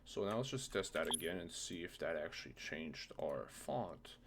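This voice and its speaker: Tutorial presenter, male, very low gravelly voice, informal think-aloud delivery, slight background noise and typing. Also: lively intonation, normal speed. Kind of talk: casual software tutorial narration.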